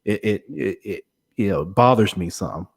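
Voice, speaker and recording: A man with a fairly low voice hosts a podcast interview. He talks conversationally and feels his way through long, winding sentences in a measured, hesitant manner. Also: lively intonation, vocal fry, slightly rough.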